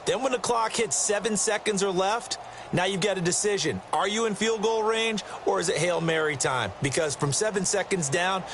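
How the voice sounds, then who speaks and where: An emphatic American football analyst explains the game like a TV color commentator. His voice is somewhat high for a man. Clear noise from a game-stream capture runs behind him. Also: normal speed. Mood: emphatic, explaining.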